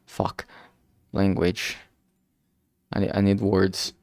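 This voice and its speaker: A man talking casually and reflectively on a solo stream, halting often as he searches for words. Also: sits low, completely flat delivery, gravelly, a little mellow, no echo, studio quality. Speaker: indie game developer streaming.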